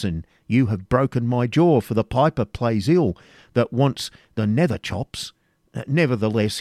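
A man's voice: medium pitch, a little mellow, no room sound, close to an everyday voice. Male reader as belligerent storybook friar: burly, bombastic, archaic diction, dry sound. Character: burly, belligerent, blustering medieval friar, quick to fight.

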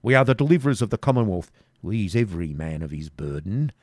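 A man's voice: on the low side, sing-song, quick pace, no room sound, clean studio sound. A man voicing a bold, mocking outlaw leader who gives harsh commands. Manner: taunting, commanding.

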